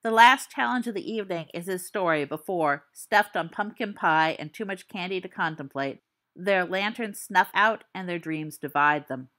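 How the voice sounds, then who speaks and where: A woman reading fan fiction aloud as an audiobook narrator, at a steady, descriptive pace. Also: fairly low pitch, moderate pace. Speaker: podfic reader.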